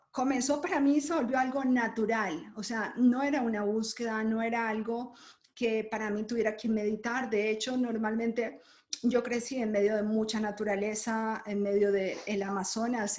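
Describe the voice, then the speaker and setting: A woman from Colombia speaks as a guest on a podcast, with a voice pitched a little high. She tells her stories in a lively, easy way and laughs often between them. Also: moderate pace. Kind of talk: conversational interview storytelling. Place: lives in Germany.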